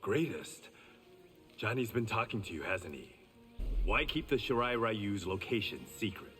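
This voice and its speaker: A man's voice: on the low side, animated, a little slow, fairly bright tone, big-room sound, soft background music. Dry, confident swordsman. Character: honourable, confident reformed gangster swordsman.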